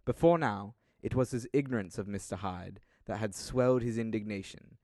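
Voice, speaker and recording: A man's voice: lowish voice, normal speed, mellow, no echo, clean studio sound. A young man reading scripted literary narration for an audio drama in a formal, dramatic storytelling manner.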